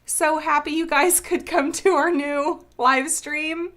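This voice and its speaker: A woman's voice: high voice, steady tone, takes it slowly, fairly bright tone. Bubbly woman hosting a craft stream. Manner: bubbly, greets viewers by name. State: sniffly from a cold. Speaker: craft live stream host. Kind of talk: casual live stream chat.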